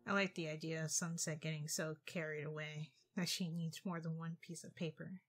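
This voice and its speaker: A woman's voice: sits low, little pitch movement, moderate pace, soft background music. A woman giving casual, off-the-cuff reaction commentary over a played story.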